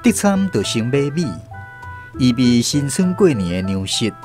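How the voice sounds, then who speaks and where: A male radio drama narrator with a Taiwanese accent. He reads the story in a medium pitch with animated inflection, and music plays faintly behind him. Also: a little slow.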